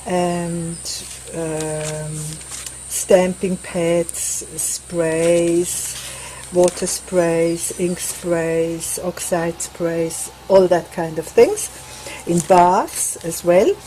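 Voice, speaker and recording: A woman with a Swiss accent talks through her crafting in a slow, unhurried way, naming things one after another. Her voice sits on the low side. Faint outdoor noise and a light breeze sound behind her on the veranda. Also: fairly even intonation, dark tone, low-quality recording. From Switzerland. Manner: unhurried, chatty, lists items one by one. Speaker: crafting vlogger. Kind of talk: casual craft show-and-tell.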